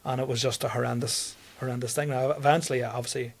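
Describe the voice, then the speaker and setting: A man's voice: mid-range voice, fairly even intonation, quick pace. A young man, a comedian telling a story on a podcast in a rambling way, repeating words and laughing often. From Northern Ireland. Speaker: comedian guest.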